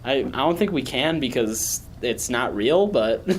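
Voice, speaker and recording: A man's voice: medium pitch, animated, a little slow. A young man co-hosting a podcast, chatting casually and joking around. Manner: joking, rambling, breaks into off-key singing. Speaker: podcast co-host. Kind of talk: casual chat. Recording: faint background noise.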